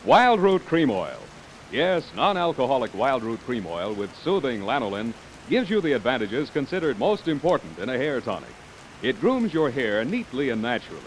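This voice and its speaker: A man reading a 1940s radio commercial as an announcer, with an emphatic, polished sales delivery. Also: medium pitch. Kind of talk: 1940s radio advertisement read. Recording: hissy vintage radio recording with rain.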